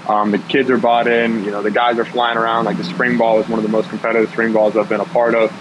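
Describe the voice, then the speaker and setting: A man's voice: on the low side, flat delivery, fast talker, lo-fi sound, noisy background. A man in his twenties, a college football player, chatting easily in an interview with many fillers. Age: 20s. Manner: easygoing, rambling, filler-heavy. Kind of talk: casual interview.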